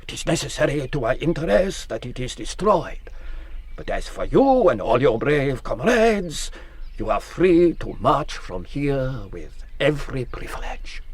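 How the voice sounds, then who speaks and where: An actor playing a courteous, shrewd French general, formal and persuasive with quiet menace, in a period radio drama. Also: male voice, mid-range voice, big pitch swings, a little slow, husky. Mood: gracious, conciliatory.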